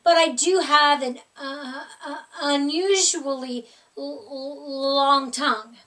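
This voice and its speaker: A big scruffy storybook boy monster, acted out by a woman in a high voice, a little far from the mic. He slurps, stammers and drags his words, trying hard to sound scary but coming out whiny and bumbling. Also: steady tone, slow, a bit bright. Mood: bumbling menace.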